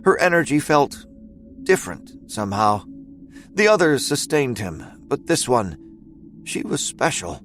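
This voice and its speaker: A man delivers scripted, dramatic fiction narration in a mid-range voice. His storytelling is measured, and soft music plays faintly behind him. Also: medium pitch, big pitch swings.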